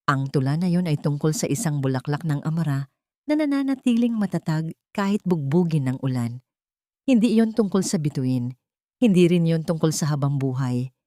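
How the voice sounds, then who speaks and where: Female narrator as lovelorn young Filipino man, audio drama, earnest and questioning, low-pitched. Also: animated, normal speed, dark tone, no room sound, clean studio sound. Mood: bitter. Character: earnest, searching young man in love, doubtful.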